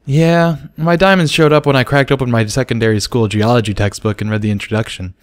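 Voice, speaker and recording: A man voicing an excitable, panicky teenage unicorn mare in a dramatic storybook reading. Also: mid-range voice, a little fast, pitched above the performer's own voice, close to an everyday voice. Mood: excited.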